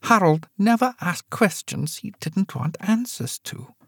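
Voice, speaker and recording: A man voicing a sharp, guarded woman in her nineties who speaks quietly and steadily, in a storybook reading. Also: high voice, expressive, measured pace, husky, dry recording, studio quality, pitched above the performer's own voice, full character voice. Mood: resigned steady. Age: 90s.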